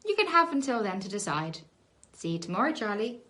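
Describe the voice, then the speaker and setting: A woman's voice: mid-range voice, big pitch swings, fairly quick, a bit bright. A woman voicing a cheeky young girl, sweetly taunting and firm, in a children's story. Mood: smugly teasing.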